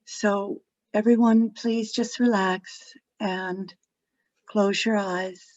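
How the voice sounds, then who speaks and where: A woman leading a guided meditation in a gentle, soothing, unhurried way, phrase by phrase with long pauses. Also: medium pitch, steady tone. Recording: dull lo-fi audio, roomy echo.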